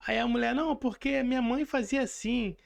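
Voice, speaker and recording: A man's voice: very high voice, fairly even intonation, fairly quick, vocal fry. A man with a Brazilian accent hosting a podcast, telling anecdotes in a casual, conversational way. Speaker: podcast host. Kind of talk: casual chat, anecdotal storytelling.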